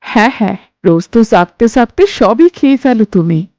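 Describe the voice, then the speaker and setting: A woman's voice: a little high, animated, normal speed, warm tone, dry recording, close to an everyday voice. A woman voicing a stern, suspicious middle-aged mother-in-law in a cartoon, scolding and commanding. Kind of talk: Bengali cartoon voice acting.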